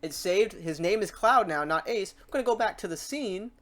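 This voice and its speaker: A woman with a mid-pitched voice presents a software tutorial. She keeps it informal and playful. She talks through each step out loud, as if chatting casually with the viewer. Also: animated, normal speed. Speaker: tutorial host.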